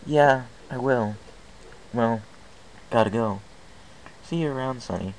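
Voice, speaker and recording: A man's voice: medium pitch, takes it slowly, darkish tone, voice pushed up, rough recording. A man voicing a restless, self-doubting young male pony in an amateur story reading. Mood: casual farewell. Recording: hissy amateur home recording.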